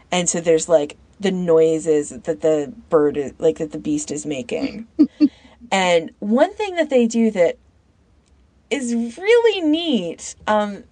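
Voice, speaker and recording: A woman with a slightly low voice talks informally, as one of a podcast panel. She thinks aloud and halts as she goes. The sound is very dry, with no room around it. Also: a little mellow, no echo. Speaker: podcast panellist recommending fan audio fiction. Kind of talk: casual chat.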